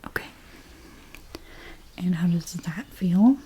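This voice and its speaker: A woman doing a soft-spoken ASMR role play as a dressmaker, gentle and unhurried, her breathy voice often slipping into a whisper. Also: lowish voice, slight background noise. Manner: gentle, unhurried, reassuring explanations to a client. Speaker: ASMR creator role-playing a dressmaker at a fitting.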